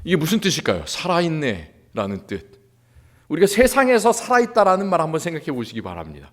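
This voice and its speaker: A male pastor from Seoul delivers a sermon in a church sanctuary. His pitch moves in wide, lively swings, climbing into emphatic stretches before falling back to quiet, earnest lines. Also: brisk. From Seoul, South Korea.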